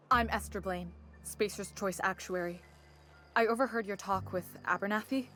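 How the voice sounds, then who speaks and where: A woman's voice: mid-range voice, a bit bright, natural voice. A woman playing a guarded, scheming company actuary in a frontier town, confiding and folksy, for a video game. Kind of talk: video game dialogue acting.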